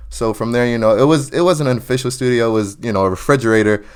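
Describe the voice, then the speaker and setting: A young man, a singer, chatting casually in an interview, relaxed and full of "you know" fillers, laughing often. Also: mid-range voice, quick pace, clean tone. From New Jersey or New York area. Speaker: guest singer.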